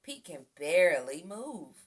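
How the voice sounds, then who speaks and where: A woman reading a children's picture book aloud as an expressive storyteller. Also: lowish voice, measured pace. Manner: expressive, acts out sound effects and exclamations. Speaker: storybook reader.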